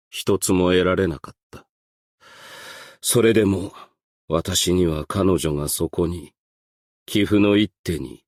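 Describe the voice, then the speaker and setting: Deep, unhurried, stern ancient strategist. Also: male voice, no room sound, studio quality. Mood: solemn, resigned. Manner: formal, archaic, measured, reserved diction. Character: Wang, composed, stern, ancient male strategist and Go master. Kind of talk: scripted game character voice lines.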